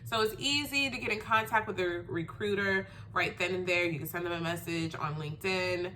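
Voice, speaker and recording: A woman's voice: lowish voice, normal speed, sharp tone, a little hiss or hum. A congested woman with a stuffy, nasal voice from a cold, giving casual career tips in a chatty, emphatic way. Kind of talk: casual how-to advice video.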